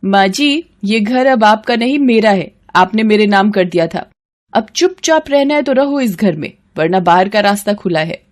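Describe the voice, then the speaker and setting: A woman voicing a scheming, sharp-tongued young daughter-in-law in a moral story, scolding and demanding. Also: medium pitch, animated, normal speed, lightly acted. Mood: scornful scolding.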